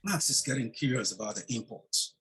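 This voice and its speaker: A man plays a terse, guarded grown son and henchman in a crime screenplay reading. His voice has a medium pitch and sits in an echoey room with faint background noise. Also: lively intonation, a little fast, a bit bright, natural voice. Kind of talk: script table read.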